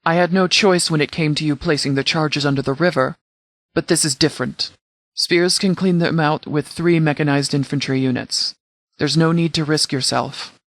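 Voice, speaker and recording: A man playing a stern, formal sheriff in a video game, giving orders in a measured, authoritative way. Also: a little high, fairly even intonation, a bit bright, no echo, clean studio sound. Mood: serious, measured. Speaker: sheriff and commander. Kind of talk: scripted game dialogue, mission briefing.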